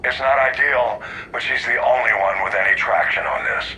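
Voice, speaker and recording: A man's voice: medium pitch, moderate pace, pushed and bright, slight background noise, poor audio. A man playing a stern, grave admiral in a video game, giving clipped, authoritative briefings. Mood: grave, urgent. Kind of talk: dramatic video game acting.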